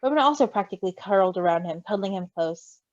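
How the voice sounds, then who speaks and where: A woman reading fan fiction and chatting casually, with a giggly, expressive manner. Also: mid-range voice, fast talker, no echo, highs cut off. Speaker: story reader and co-reactor.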